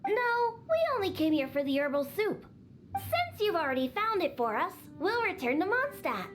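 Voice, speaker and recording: A woman's voice: very high-pitched, sing-song, normal speed, soft background music. A woman voicing a bossy, proud young cat-girl bartender in a video game, sassy and scolding. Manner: sassy, boastful, matter-of-fact scolding.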